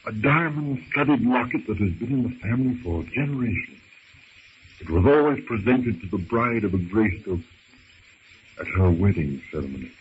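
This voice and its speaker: On a muffled, faintly hissy old radio recording, a male actor plays a proper English gentleman stranded in the jungle. His pitch moves animatedly through formal, earnest sentences. The delivery is theatrical adventure-serial drama. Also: expressive, moderate pace, natural voice. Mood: solemn, puzzled. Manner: formal, genteel, theatrical phrasing.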